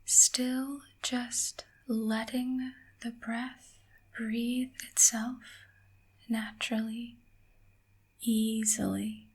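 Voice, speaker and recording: Slow, breathy whispering woman. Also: a little high, low-quality recording. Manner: gentle, calming, unhurried with long pauses. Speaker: guided meditation host. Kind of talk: ASMR guided body scan meditation.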